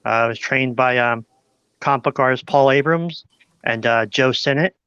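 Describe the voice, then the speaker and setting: A man from New York State, a guest artist on a live stream, talking easily with many pauses. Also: medium pitch, sharp tone, clear, resonant tone, dry recording. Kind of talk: casual live-stream chat. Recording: dull lo-fi audio, dry.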